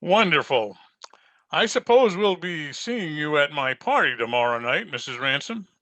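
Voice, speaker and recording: Bright, animated mayor played by a man. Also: a little high, normal speed, no room sound. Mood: hearty. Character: genial, gallant male small-town mayor. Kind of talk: old-time radio comedy acting.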